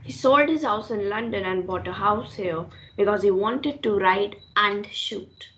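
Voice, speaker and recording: A woman's voice: mid-range voice, unhurried, fairly bright tone, close to an everyday voice. A woman playing a polite neighbourly lady in an amateur reader's theater, reciting her lines plainly. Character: polite neighbourly lady making small talk. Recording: dull, muffled sound.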